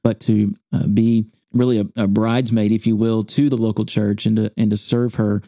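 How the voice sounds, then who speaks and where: A man hosting a studio podcast interview, measured and earnest, with church phrasing. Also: on the low side, flat delivery, mellow, no room sound. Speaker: podcast host, campus ministry staff.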